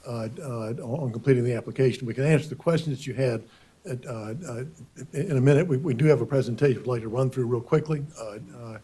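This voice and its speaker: A man presenting for an applicant before a county board, hoarse from a cold. His voice is mellow and husky, and he hesitates often, doubling his words. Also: mid-range voice. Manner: halting, many repeated words and uhs. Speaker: attorney for applicant. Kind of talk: formal hearing presentation. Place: county board meeting room.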